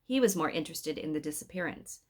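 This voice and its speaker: A woman's voice: low voice, animated, quick pace, a little mellow. A woman reading a story aloud in a scripted narration style.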